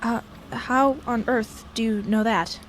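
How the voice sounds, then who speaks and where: A woman playing an earnest, anxious school-age kid in a radio drama, halting when pressed. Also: on the high side, steady tone. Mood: nervous, firm.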